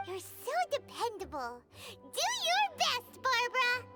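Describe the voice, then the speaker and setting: A woman voicing a tiny, chirpy, cheeky fairy companion with a squeaky childlike voice in an anime game. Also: huge pitch swings, measured pace, exaggerated voice. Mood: encouraging. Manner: refers to self in third person, exclamatory. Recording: loud music behind the voice. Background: loud playful game music.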